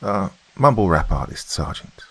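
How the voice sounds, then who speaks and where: A man's voice: sits low, very animated, moderate pace, husky, heavily acted. A man voicing a young, eager, cheeky lance corporal in a military story, playful and exaggerated. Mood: eager, apologetic. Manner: playful, hesitant fillers, exaggerated.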